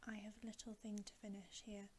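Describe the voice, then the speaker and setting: A woman's voice: medium pitch, level tone, normal speed, a little mellow, slight background noise, close to an everyday voice. A woman voicing a cold, scornful, commanding witch queen in a whispered storybook reading.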